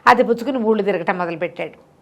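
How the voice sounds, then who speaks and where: A woman's voice: mid-range voice, very fast. A woman telling a moral story conversationally, with an instructive manner and words repeated for emphasis.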